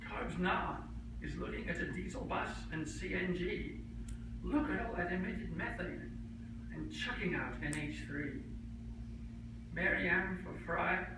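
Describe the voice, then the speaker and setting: An older man, an academic, reads his humorous rhyming poem to a conference in measured short lines with pauses. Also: high-pitched. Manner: measured, pausing between short verse lines. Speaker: academic researcher reading his own poem. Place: conference room, recorded from a distance. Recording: echoey hall from far off, noisy.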